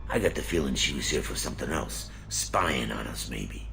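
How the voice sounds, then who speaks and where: A man's voice: rumbling low, expressive, moderate pace, gravelly, bright, forceful tone, slight background noise, heavily acted. A man playing a rattled, resentful colony mechanic in a video game, grumbling and stammering. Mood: grudging, suspicious. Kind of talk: video game drama acting.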